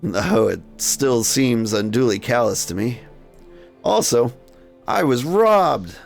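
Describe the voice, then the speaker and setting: A man's voice: mid-range voice, lively intonation, slow pace, creaky, soft background music. A man voicing a brooding, courteous Victorian minotaur with formal, wordy, old-fashioned speech in a game story. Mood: aggrieved. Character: brooding male minotaur gentleman detective, courteous Victorian drunkard.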